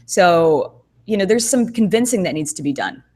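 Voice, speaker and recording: Low-voiced woman chatting with fillers. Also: animated, normal speed, a little mellow. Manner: thoughtful, rambling with many fillers and restarts. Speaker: co-host. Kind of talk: casual podcast chat. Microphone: video call.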